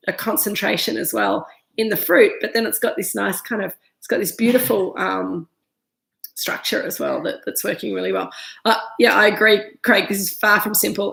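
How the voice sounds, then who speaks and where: A woman's voice: mid-range voice, creaky, roomy sound. An Australian woman in her thirties, stuffy from a head cold, chatting casually through a live wine tasting with lots of ums and restarts. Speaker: winemaker hosting a live virtual tasting. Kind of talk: casual live-stream chat, reading viewer comments. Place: winery room.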